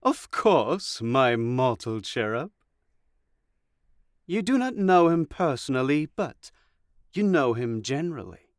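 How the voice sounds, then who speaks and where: A coy, condescending god of deception, acted by a man, in a fantasy audio drama. He speaks slowly and deliberately, with a lively, lilting range, and every phrase sounds measured and cryptic. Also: medium pitch, dry recording, studio quality. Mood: coy, assured.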